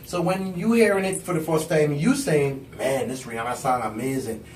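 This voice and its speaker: A man's voice: fairly high pitch, echoey room, distant mic, a little hiss or hum. A man with a Caribbean accent, a songwriter chatting casually and laughing easily in a radio interview. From U.S. Virgin Islands. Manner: relaxed, conversational, quick bursts with laughs. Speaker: songwriter and performer guest. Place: radio studio.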